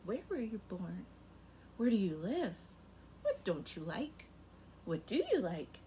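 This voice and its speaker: A young woman teacher reading a picture book aloud to kindergarten children, very expressive, her loudness rising and falling sharply. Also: mid-range voice, slow pace, slight background noise. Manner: expressive and animated, with big swings in loudness. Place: home room in front of a rainbow feather backdrop.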